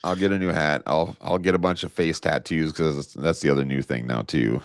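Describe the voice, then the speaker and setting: Podcast co-host, male, extremely deep voice, dry close sound, rambly storyteller. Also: steady tone, a little fast, no room sound. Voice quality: low voice. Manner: rambling storyteller, lots of fillers. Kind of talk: casual chat.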